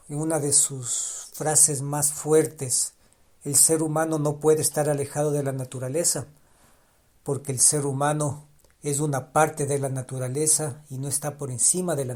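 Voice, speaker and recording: A man's voice: a little high, steady tone, moderate pace, darkish tone. A man speaking alone on a podcast in a reflective way, often restarting his phrases and repeating ideas for emphasis, his first language Spanish. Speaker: podcast host.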